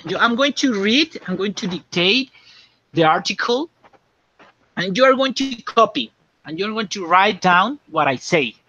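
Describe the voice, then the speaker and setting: A man teaching English, dictating slowly and checking often that students understand. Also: high-pitched, sharp tone, no room sound. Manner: slow and repetitive, checking understanding. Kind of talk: classroom dictation lesson. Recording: dull lo-fi classroom recording.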